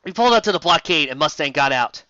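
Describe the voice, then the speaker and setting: A host giving a comedic dramatic reading of fan fiction, theatrical and excitable, with exasperated asides. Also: mid-range voice, fast talker, no room sound, muffled recording.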